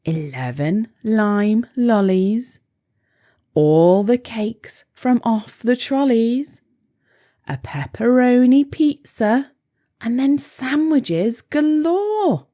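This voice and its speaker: A woman's voice: fairly high pitch, lively intonation, very slow, warm tone. A woman reading a rhyming children's storybook aloud in a playful, theatrical way. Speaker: storybook reader.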